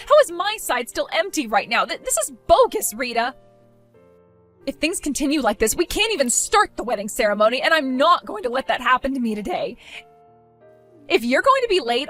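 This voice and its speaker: A woman's voice: sits high, fairly bright tone. A woman playing a self-centered young bride who whines and pleads with her sister, in a scripted drama.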